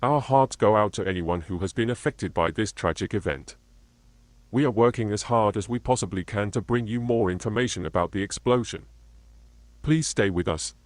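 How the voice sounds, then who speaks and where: A man reading a scripted emergency broadcast announcement in a formal, measured manner, as a continuity announcer. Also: fairly low pitch, no room sound.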